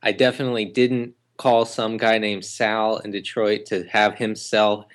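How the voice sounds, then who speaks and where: A man co-hosting a casual sports podcast, talking in a halting way full of ums and uhs, with dry jokes. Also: on the low side, flat delivery. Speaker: podcast co-host.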